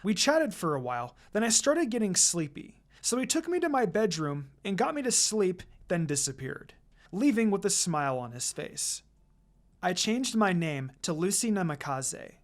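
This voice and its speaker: Fanfic reader narrating in first person, mid pitch, really animated and expressive. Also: moderate pace. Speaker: fan fiction reader.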